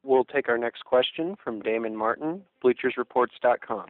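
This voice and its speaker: A man's voice: mid-range voice, moderate pace, no echo. A man making scripted announcements as a conference call operator over the phone. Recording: dry narrow phone line.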